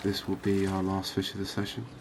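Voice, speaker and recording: A man giving relaxed, unhurried, musing ASMR-style gameplay commentary, chatting to his viewers. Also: sits low, a little hiss or hum. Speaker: host of a cozy fishing game channel.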